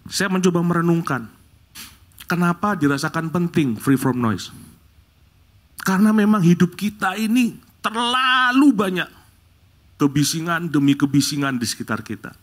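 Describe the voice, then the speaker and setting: A middle-aged man from Bali leads a church prayer, then preaches a sermon to the congregation. His talk is lively, rising to loud exclamations and jokes with the congregation. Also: on the high side. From Bali, Indonesia. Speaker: guest preacher. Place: church service.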